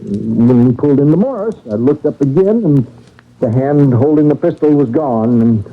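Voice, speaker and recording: Through a narrow, phone-like old radio sound comes an uneasy baggage master. He stammers and hedges, eager to please, and his husky voice sits at an ordinary male pitch. It is classic radio mystery acting. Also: lively intonation, moderate pace. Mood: flustered, defensive. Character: nervous, evasive male railway baggage master under suspicion.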